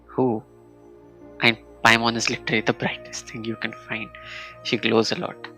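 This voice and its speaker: A man's voice: fairly low pitch, little pitch movement, normal speed, bright, forceful tone. A man streaming a game live, reacting and musing aloud in casual commentary. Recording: game music behind the voice.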